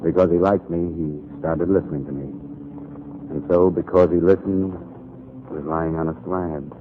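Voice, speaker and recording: Actor as deep-voiced hard-boiled 1940s radio newspaperman. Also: fairly even intonation, moderate pace, close to an everyday voice. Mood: brooding. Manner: terse, world-weary, dramatic. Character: hard-boiled male newspaper columnist, brooding and stubborn. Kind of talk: 1940s noir radio acting with first-person narration. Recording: muffled, noisy 1940s radio recording.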